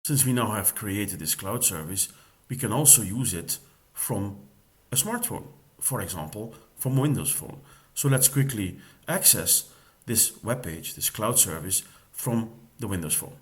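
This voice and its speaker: A man giving smooth, scripted narration that links the parts of a software demo. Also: lowish voice, lively intonation, a little slow.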